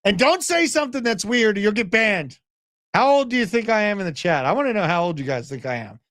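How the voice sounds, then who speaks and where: Man in his thirties, livestream host, brash and animated, fairly high voice, dead dry sound. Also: fast talker, dry recording. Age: 30s. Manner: brash, animated, chats with live viewers. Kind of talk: casual livestream market commentary.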